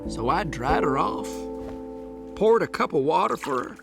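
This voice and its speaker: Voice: mid-range voice, lively intonation, normal speed, vocal fry, loud music behind the voice. An actor playing a folksy, chatty, overly friendly small-town Western sheriff in an audio drama, with a gossipy, theatrical way of talking. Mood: mournful storytelling. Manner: effusive, gossipy, theatrical storytelling. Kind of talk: audio drama character acting.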